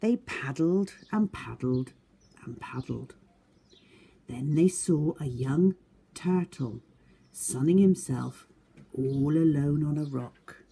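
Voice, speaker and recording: A woman storyteller and teacher reading aloud to children in an expressive storytelling style. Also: low voice, takes it slowly, slightly rough. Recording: faint background noise, birdsong. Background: birdsong.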